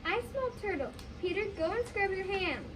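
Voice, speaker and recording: A woman's voice: very high-pitched, very animated, moderate pace. A young female reader playing a fussy, matter-of-fact mother in readers theater. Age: child reader, fourth grade. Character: fussy mother who dislikes turtle smell. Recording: distant microphone, clear background noise.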